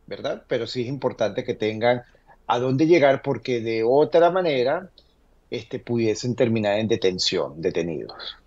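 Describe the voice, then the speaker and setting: A man speaking as an immigration lawyer, giving conversational advice in a halting way, often checking in with short tag questions. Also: medium pitch, animated, a little mellow, lo-fi sound. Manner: hesitant, halting explanations, tags statements with "verdad".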